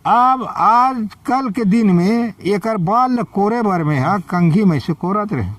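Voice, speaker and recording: A man's voice: high voice, animated, takes it slowly, a bit bright, no echo. A man with a Chhattisgarhi accent tells an oral folk story informally, in short phrases that leave room for a listener to respond. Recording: dull lo-fi, distant microphone.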